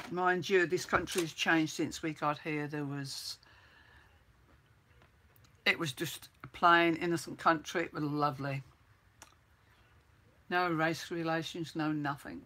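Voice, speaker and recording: An older woman from Birmingham with an English accent softened by years in New Zealand, chatting casually to her viewers and reminiscing. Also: deep voice, steady tone, takes it slowly, long pauses. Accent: English with some New Zealand mixed in. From England (Birmingham). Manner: chatty, reminiscing, repeats herself. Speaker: livestream host.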